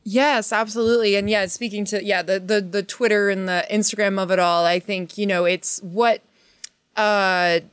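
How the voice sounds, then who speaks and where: A woman in a casual chat as a guest, effusive and rambling, often halting and restarting her thoughts. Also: mid-range voice, steady tone, smooth, no echo. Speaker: panel guest, actress.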